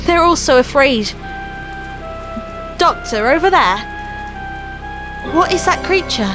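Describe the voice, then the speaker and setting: A plucky, warm-hearted adventuring companion acted by a woman in an audio drama. The voice is high, earnest and expressive, over music and the sound of rain. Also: takes it slowly. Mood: horrified, concerned.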